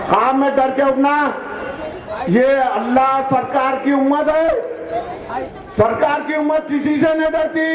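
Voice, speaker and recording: A man's voice: very high-pitched, background noise. A man with a Hyderabadi Deccani accent giving an impassioned, exhortative political speech at a public meeting, repeating his appeals in colloquial phrases. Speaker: political speaker. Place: public meeting, loudspeaker address. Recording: echoing PA system, dull lo-fi, crowd.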